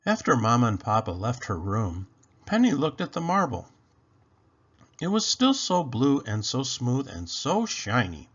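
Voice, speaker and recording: A man reading a children's story aloud in an expressive, animated, deliberate way. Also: mid-range voice, breathy edge, lo-fi sound. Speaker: storybook read-aloud host.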